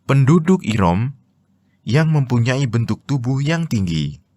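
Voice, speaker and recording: A man's voice: medium pitch, sing-song, unhurried, dark tone. A man with an Indonesian accent reading religious commentary as formal scripted narration.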